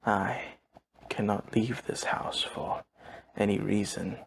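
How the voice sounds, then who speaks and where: A man playing an emotionless, courteous old vampire manservant in an audio roleplay, speaking formally and deadpan in a gravelly, breathy husk. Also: lowish voice, exaggerated voice. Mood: flat, solemn. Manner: formal, measured, deadpan, no contractions. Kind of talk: audio roleplay acting, addressing the listener.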